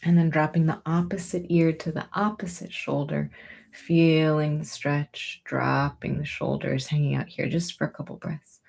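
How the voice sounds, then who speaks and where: A woman teaching yoga and breathing, giving gentle, soothing, unhurried encouragement as she guides each movement. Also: low voice, fairly even intonation, gravelly.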